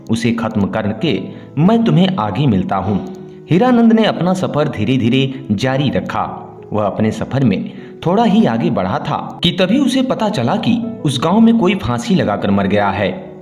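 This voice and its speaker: A man narrating a scripted moral story in a measured, expressive storytelling way. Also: mid-range voice. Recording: roomy echo, music underneath. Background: background music.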